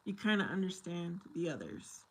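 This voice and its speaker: A woman's voice: fairly low pitch, sing-song, normal speed. A woman chatting casually with viewers on a livestream, slipping Spanish phrases in between English. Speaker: livestream host.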